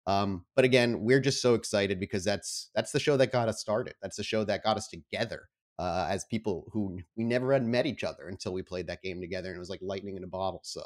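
A man's voice: lowish voice, quick pace, hardly any pauses. A man speaking as host and game master of a tabletop roleplaying show, with an informal, enthusiastic manner. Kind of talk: casual announcements, then narrating a tabletop game.

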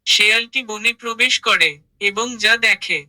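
Very bright-voiced children's story narrator. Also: mid-range voice, moderate pace, rough recording.

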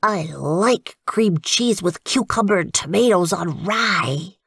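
A woman's voice: mid-range voice, lively intonation, slow, gravelly, a bit bright, husky, dry recording, clean studio sound, exaggerated voice. A woman voicing a young badger schoolboy in a storybook, contented, orderly and boyish. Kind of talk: storybook character voice.

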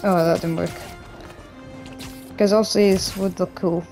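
A man talks casually over a video game in a hoarse, croaky voice, gravelly and rough from a cough. His tone sits low and mellow, and he rambles loosely, full of restarts and fillers. Faint game music plays underneath. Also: steady tone, unhurried. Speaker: gaming YouTuber. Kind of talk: casual gameplay commentary.

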